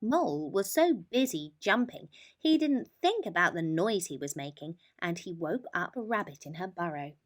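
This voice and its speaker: A woman narrating a children's bedtime story in an expressive, deliberate manner. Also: fairly low pitch.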